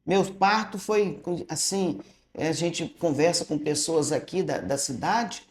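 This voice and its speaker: A woman's voice: low-pitched, measured pace. Older woman reminiscing in an echoey room. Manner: storytelling, tags statements with "né?". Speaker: retired school director and teacher. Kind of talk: reminiscing interview answers.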